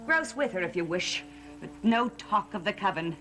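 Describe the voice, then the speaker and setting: A woman's voice: mid-range voice, expressive, moderate pace, natural voice. A woman playing a cold, commanding witch. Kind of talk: 1960s soap opera acting. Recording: old TV soundtrack with music. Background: music.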